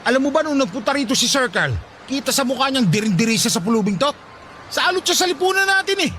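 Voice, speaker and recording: A man's voice: very high voice, sing-song, fast talker, pushed and bright, slight background noise, exaggerated voice. A Filipino man playing an irritable, callous shopkeeper husband in a radio drama, scolding and defensive. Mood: indignant, defensive. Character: irritable, callous husband running a hardware shop.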